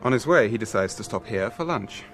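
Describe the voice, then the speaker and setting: Fussy restaurant boss in a radio play, low voice, fretful and put out. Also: male voice, animated, fairly quick, a bit bright, faint music behind. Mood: exasperated. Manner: fretful, exasperated. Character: fussy, aggrieved male restaurant owner, anxious for discretion. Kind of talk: radio drama acting.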